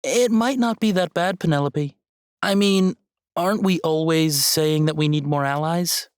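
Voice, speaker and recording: Woman playing a gentle nerdy college girl, low voice, kinda hesitant, audio drama, really dry sound. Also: measured pace, clean tone, no echo. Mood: hopeful, tentative. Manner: tentative, conciliatory, hesitant. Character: gentle, hesitant young female tech-savvy student friend.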